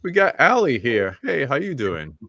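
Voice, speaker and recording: A man's voice: medium pitch, sing-song, moderate pace, no room sound, lo-fi sound. A middle-aged man hosting a live roundtable stream, casually greeting viewers from the chat. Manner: laid-back, shouting out chat viewers. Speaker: host.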